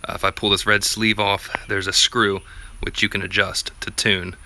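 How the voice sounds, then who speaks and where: A man's voice: fairly low pitch, level tone, normal speed, bright, forceful tone, a little hiss or hum. A man giving a casual, unscripted how-to walkthrough in a plainspoken, laid-back way, as a vlogger would. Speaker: vlogger presenting a DIY gear tutorial.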